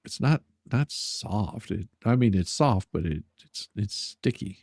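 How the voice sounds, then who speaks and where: A man's voice: lowish voice, animated, measured pace, darkish tone, no room sound. Man bantering casually, close dry sound. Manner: teasing, sarcastic. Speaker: live stream host. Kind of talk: casual chat, joking banter.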